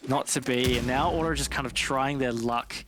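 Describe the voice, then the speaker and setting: A man with a medium-pitched voice gives live analysis of an esports match. He sounds informal and explanatory, talking it through as if in conversation. Faint noise sits behind his voice. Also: fairly even intonation, fairly quick.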